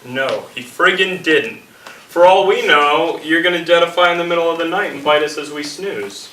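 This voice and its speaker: A man's voice: fairly high pitch, moderate pace, pushed and bright. A man acting in an amateur stage play as a needling, suspicious smart-aleck survivor with a taunting delivery. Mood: accusing. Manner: taunting, provocative. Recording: echoey, noisy, distant stage recording.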